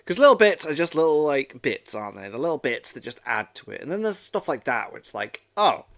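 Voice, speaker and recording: A man's voice: on the high side, very animated, fairly quick, fairly bright tone. A man hosting a reaction video in casual chat, enthusiastic and rambling, often repeating himself.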